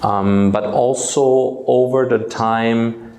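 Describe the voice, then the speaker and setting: A man in his forties from Germany, a native German speaker, giving a hesitant talk to students in a school auditorium, pausing often on filler sounds. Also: medium pitch, steady tone, a little mellow. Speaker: guest speaker. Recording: echoey hall.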